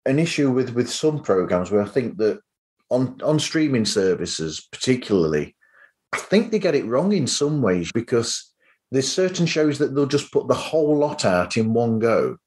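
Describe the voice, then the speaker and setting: A man's voice: mid-range voice, big pitch swings, moderate pace, a little mellow. A man talking casually as a podcast host, in a rambling, anecdotal way.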